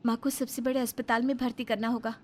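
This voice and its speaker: A woman voicing a polite, gentle young daughter-in-law in a Hindi moral story. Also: fairly high pitch, flat delivery, fast talker, natural voice. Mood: concerned.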